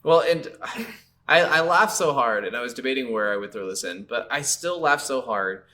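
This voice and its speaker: A man's voice: mid-range voice, lively intonation, pushed and bright. A man co-hosting a gaming podcast in casual, unscripted chat, with an easily amused manner. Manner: amused, quick to laugh. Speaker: podcast co-host reviewing video games.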